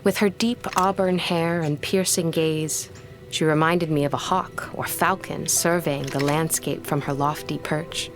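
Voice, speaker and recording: Young female tutor, audio drama: lowish voice, formal, precise, guarded, earnest. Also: fairly even intonation, unhurried, over music. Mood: reflective. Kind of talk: audio drama acting with formal first-person narration.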